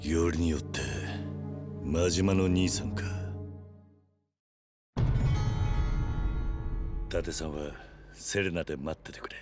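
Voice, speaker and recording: An actor playing a stoic, protective yakuza hero in a video game, speaking tersely and gravely. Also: male voice, sits low, fairly even intonation, slow, pauses often, over music. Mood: grave resolve. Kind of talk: dramatic video game acting.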